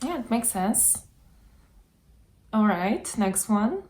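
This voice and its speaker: A woman's voice: medium pitch, very animated, takes it slowly, darkish tone. A woman hosting a casual interview over a video call, chatty and filling her talk with yeah and like. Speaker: host.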